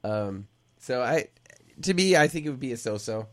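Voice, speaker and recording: A playful man on a casual movie panel, his pitch rising and falling widely. He acts out his reactions with a grin in his voice. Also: expressive, normal speed. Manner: playful, acts out lines he shouted at the screen. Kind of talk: casual movie review chat.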